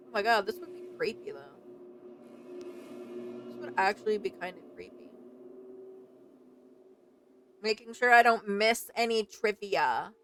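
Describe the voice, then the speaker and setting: A woman's voice: medium pitch, normal speed, pauses often, background noise. A woman game streamer giving casual, chatty, exclamatory commentary as she plays. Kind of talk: casual reactive let's play commentary.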